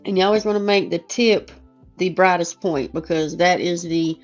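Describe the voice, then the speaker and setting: A woman who is losing her voice, hoarse and chatty, talking through a casual painting lesson over soft guitar music. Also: fairly low pitch, steady tone, normal speed. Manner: casual, chatty, self-deprecating. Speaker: art teacher. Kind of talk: relaxed painting tutorial voice-over.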